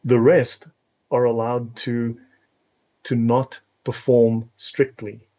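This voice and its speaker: A man's voice: mid-range voice, little pitch movement, takes it slowly, mellow. South African man explaining, slightly dull audio. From South Africa, born and raised in Cape Town. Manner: academic, didactic, checks understanding with "right?". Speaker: interview guest, educator. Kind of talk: explanatory live interview talk.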